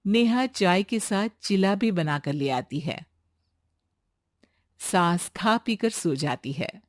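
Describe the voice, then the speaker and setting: A woman's voice: on the low side, animated, slow pace, no room sound, clean studio sound. A woman reading scripted storybook narration as the narrator of a cartoon tale.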